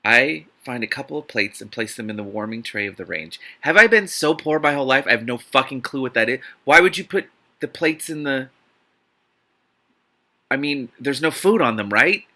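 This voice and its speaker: An American man with a very bright, forceful voice at a medium pitch. He hosts a casual read-aloud, breaking in with irreverent, sweary commentary in an incredulous manner. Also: expressive, moderate pace. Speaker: host reading a book aloud with commentary. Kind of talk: informal read-aloud with joking asides.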